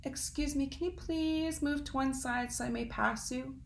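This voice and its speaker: Woman doing a tiny polite wren, high voice. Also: moderate pace, creaky, slight background noise. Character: small polite male wren bird.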